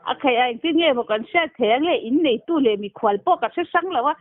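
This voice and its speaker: A woman's voice: high-pitched, animated, fast talker, no echo. A woman chatting casually on a phone call, heard over a tinny, narrow telephone line. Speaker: phone caller.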